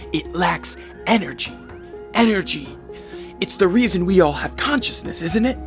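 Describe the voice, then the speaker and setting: A creaky-voiced, fervent mad doctor. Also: male voice, high voice. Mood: intense, resolute. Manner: theatrical, deliberate lecturing delivery. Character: eccentric male scientist doctor, grave, fervent, scattered. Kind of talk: radio drama acting. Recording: dramatic music behind the voice.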